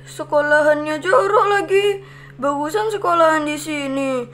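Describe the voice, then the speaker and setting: A woman voicing an innocent, plaintive young girl in an animated horror story. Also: sits high, normal speed, slight background noise. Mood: sulky.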